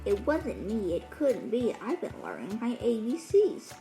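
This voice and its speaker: Male alligator, played by a woman, slow, muted, innocent, plain-spoken, children's storybook, soft music behind. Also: on the high side, wildly swooping pitch, creaky, roomy sound, full character voice. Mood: quiet denial. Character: male alligator calmly denying cupcake theft.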